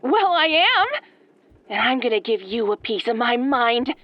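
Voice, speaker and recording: Obsessed killer fangirl, horror audio drama, girlish, sweet menace, unhurried, dry slightly dull close recording. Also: on the high side, very animated, dry recording. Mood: sweetly threatening. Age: young adult. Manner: girlish, soft-spoken, pouty. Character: sweetly menacing young obsessed female fan turned killer. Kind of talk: ASMR horror roleplay acting.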